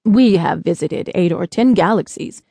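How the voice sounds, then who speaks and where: A woman voicing a kindly, proud man from space in an audiobook, with slow, formal, polite speech. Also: fairly low pitch, gravelly, warm tone, breathy edge, no echo.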